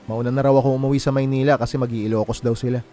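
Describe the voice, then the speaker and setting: An actor playing a heartbroken, wronged young boyfriend in a Filipino radio drama, with a plaintive, confiding manner and a Filipino accent. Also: male voice, medium pitch, little pitch movement, rapid-fire, mellow, close to an everyday voice. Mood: downcast. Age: young adult. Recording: music playing behind the voice.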